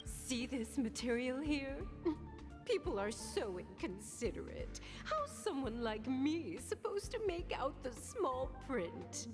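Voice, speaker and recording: A woman's voice: sits high, big pitch swings, slow, exaggerated voice. An actress playing a chatty elderly woman in a game cutscene, genteel, effusive and wheedling. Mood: plaintive. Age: older. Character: chatty, flattering elderly woman with failing eyesight. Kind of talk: scripted game cutscene acting. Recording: long reverb, music under the voice.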